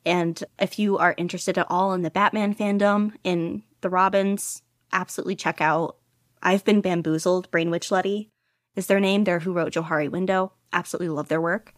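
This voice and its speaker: A woman's voice: lowish voice, steady tone, moderate pace, no room sound, clean studio sound. A woman guest in a casual interview, talking eagerly about her hobby with many "like"s and laughs. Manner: gushing, lots of "like" and laughter. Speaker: guest podficcer.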